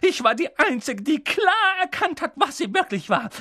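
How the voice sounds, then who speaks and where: A reader voicing a bitter middle-aged aunt who rants in a shrill, spiteful rush, in an audiobook. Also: female voice, big pitch swings, sharp tone, heavily acted. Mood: shrill ranting. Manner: shrill, spiteful, rushing tirade. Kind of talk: audiobook reading.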